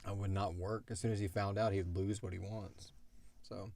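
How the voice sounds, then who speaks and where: A man co-hosting a casual question-and-answer chat, speaking in an animated way and swearing freely. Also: fairly low pitch, normal speed. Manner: animated, swearing, acts out imagined dialogue. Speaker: co-host of an audio drama Q&A show.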